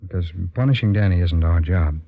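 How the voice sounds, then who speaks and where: An actor playing a steady, sympathetic police detective in an old-time radio drama, matter-of-fact but kindly. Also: male voice, low-pitched, brisk, natural voice. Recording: dull, lo-fi old radio recording.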